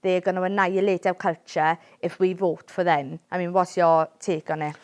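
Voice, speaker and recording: A woman's voice: on the low side, little pitch movement, normal speed, darkish tone, smooth. A middle-aged Welsh woman hosting a casual political discussion, earnest and emphatic, often checking in with "right?". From Wales.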